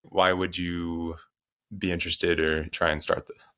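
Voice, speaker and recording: A man's voice: low voice, little pitch movement, unhurried, pushed and bright, smooth. A man hosting a podcast, asking casual, upbeat questions. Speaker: podcast host.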